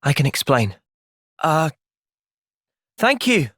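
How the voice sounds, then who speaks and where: An earnest young British hero in a video game. He is thoughtful, polite and determined, with a very mobile pitch. The sound is dry, with no room on it. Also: sing-song, takes it slowly, fairly bright tone, no room sound, exaggerated voice. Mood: hesitant. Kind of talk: video game cutscene dialogue.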